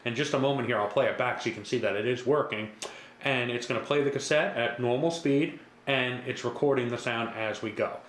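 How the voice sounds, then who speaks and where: A man giving a casual, reassuring step-by-step software tutorial as a product demonstrator. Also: medium pitch, fairly quick. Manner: casual, repetitive, reassuring instructor. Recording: echoey room with faint background noise.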